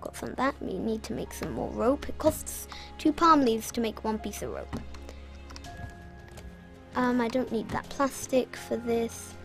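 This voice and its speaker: A young woman from Britain talks her way through a survival game in a loose, rambling commentary. Her voice sits a little high, and she drifts into self-mocking jokes. Soft music plays underneath. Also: unhurried, vocal fry. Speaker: gaming channel host. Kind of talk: casual gameplay commentary.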